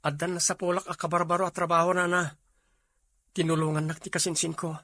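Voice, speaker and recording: Man acting higher-pitched earnest young cousin. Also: flat delivery, fast talker, sharp tone, dry recording, studio quality. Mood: earnest, eager. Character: earnest young male job-seeking cousin. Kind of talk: amateur Filipino audio drama acting.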